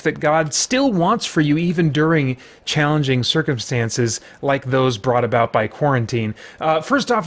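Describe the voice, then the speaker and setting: A middle-aged man giving casual, playful gameplay commentary as a host, rambling and thinking aloud as he goes. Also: fairly high pitch. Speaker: host of a video series. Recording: video game music behind the voice. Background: retro video game music.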